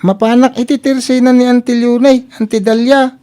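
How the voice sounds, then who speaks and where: A woman acts out a meek, helpful young Filipino relative in an Ilocano radio comedy. The voice is on the high side and soft-edged, mellow in tone. The delivery is polite and hesitant. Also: level tone, moderate pace, lightly acted. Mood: agreeable. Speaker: radio drama actor.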